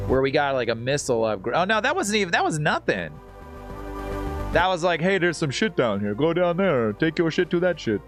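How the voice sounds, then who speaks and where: A man talks off the cuff to his live-stream audience as he plays. His voice sits fairly high and jumps around in pitch with animated inflection. He is informal and sweary, with music playing softly behind him. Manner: informal, slangy and profane, laughs easily. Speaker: game streamer. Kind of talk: casual live-stream chat while playing a game.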